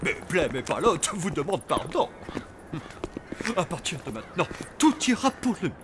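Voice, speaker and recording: High, wildly animated zombie dad. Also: male voice, normal speed, noisy background. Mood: placating. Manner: effusive, reassuring. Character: doting, placating zombie father who runs a hotel. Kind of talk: French-dubbed children's cartoon acting. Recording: music behind the voice.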